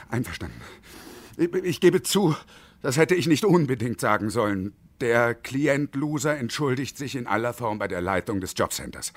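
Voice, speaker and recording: A man's voice: medium pitch, breathy edge. An actor playing a desperate, sarcastic unemployed engineer in his fifties in a radio drama, biting and bitterly ironic. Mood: contrite, despairing. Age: 50s.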